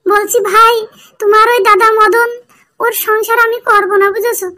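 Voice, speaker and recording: A woman voicing an aggrieved, pleading young village wife in a comedy cartoon, with a pitched-up voice. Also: flat delivery, moderate pace, bright, forceful tone. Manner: plaintive, insistent.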